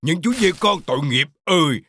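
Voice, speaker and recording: A man voicing a wicked, gloating cartoon wolf villain with a gravelly voice and a menacing manner. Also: a little high, expressive, normal speed, a bit bright, a little hiss or hum.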